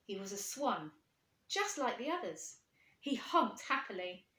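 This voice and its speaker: A woman reading a storybook to children, expressive and putting questions to her young listeners. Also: medium pitch, measured pace. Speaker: primary school teacher reading aloud. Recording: echoey room.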